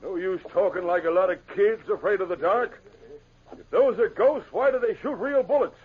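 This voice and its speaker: A man's voice: high voice, normal speed, phone-quality sound, natural voice. An actor playing a sensible, skeptical Old West lawman, firm and plain-spoken, in a 1950s radio drama. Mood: skeptical, insistent. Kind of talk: 1950s western radio acting. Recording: thin, noisy old radio recording.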